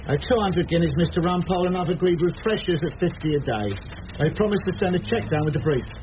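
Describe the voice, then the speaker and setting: Man as a quick-talking English clerk. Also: fairly high pitch, animated, close to an everyday voice. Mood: businesslike. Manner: businesslike, chatty. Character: brisk, deferential male barrister's clerk. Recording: muffled radio broadcast, clear noise.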